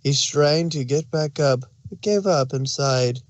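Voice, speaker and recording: A man's voice: on the high side, a little slow, no echo. A man narrating a story aloud as a scripted fan fiction reading.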